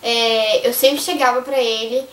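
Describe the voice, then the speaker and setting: A young Brazilian woman talks straight to her viewers in a loose, rambling vlog. Her voice is high and a little hoarse. She sits back from the mic, and the echoey room comes through around her. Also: fairly even intonation, a little slow, fairly bright tone, far from the mic. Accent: Brazilian Portuguese. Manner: chatty, rambling, addresses viewers directly. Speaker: vlogger. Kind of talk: casual advice vlog.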